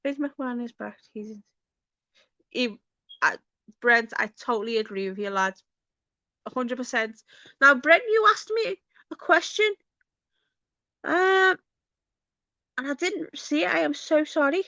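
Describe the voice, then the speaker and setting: A woman with a Liverpool accent hosts a casual video chat. Her voice is high-pitched and bright, recorded very dry. She speaks informally and bluntly, straight to individual viewers, with swearing thrown in. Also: unhurried, long pauses, no echo. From Liverpool, England. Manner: informal, sweary, talks directly to viewers by name. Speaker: video channel host.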